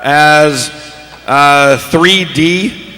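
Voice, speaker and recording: Fairly high-voiced man moderating a live panel. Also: extremely slow, music in the background. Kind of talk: live casual hosting. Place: convention panel stage. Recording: echoey hall with audible crowd.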